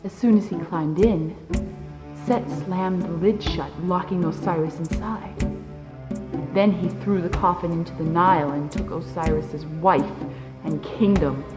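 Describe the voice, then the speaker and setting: A woman's voice: on the low side, a little slow, roomy sound, music in the background, natural voice. A woman playing a stern, insistent, bitter mother in an audio drama. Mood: solemn storytelling. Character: commanding, bitter mother, scholarly and accusing.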